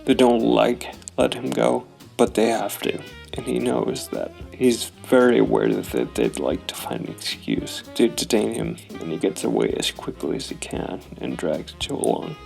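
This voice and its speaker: A man narrating his solo tabletop role-playing game, thinking aloud in a deliberate, analytical way. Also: fairly low pitch, creaky, a little mellow, faint music behind.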